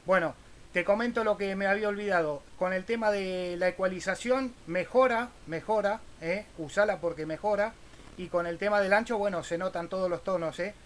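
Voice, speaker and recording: An Argentine man with a high voice chats casually as an amateur radio operator. He talks in radio-operator jargon, with faint noise in the recording. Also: brisk. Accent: Argentine Spanish. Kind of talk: casual ham radio exchange with spelled-out call signs.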